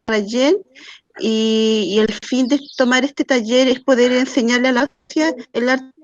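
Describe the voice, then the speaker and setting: A woman's voice: fairly high pitch, fairly even intonation, unhurried, dry recording. A Chilean woman, a community association leader, introducing herself on a video call. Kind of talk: brief self-introduction. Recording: dull video-call audio.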